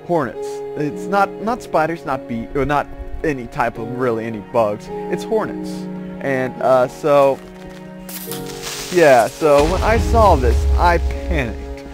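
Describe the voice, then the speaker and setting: A man telling a casual story as he hosts gameplay, rambling and halting, often repeating and restarting his phrases. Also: medium pitch, expressive, music in the background. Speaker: host of a gaming series.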